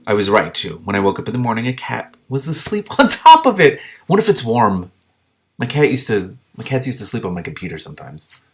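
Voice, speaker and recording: A man reading a children's fantasy novel aloud, with an expressive, informal delivery and casual commentary. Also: medium pitch, fairly quick. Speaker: reader of a book with commentary.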